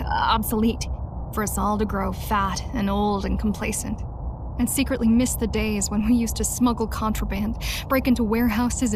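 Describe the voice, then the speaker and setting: A woman's voice: mid-range voice, background noise, close to an everyday voice. A woman voicing a candid, disillusioned young rebel in a video game, confiding and wistful.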